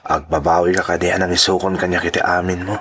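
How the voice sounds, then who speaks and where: A man with an Ilocano accent playing an earnest, lovestruck suitor, gentle and persistent, in a radio drama. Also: deep voice, little pitch movement, fast, background noise. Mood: earnest, tender.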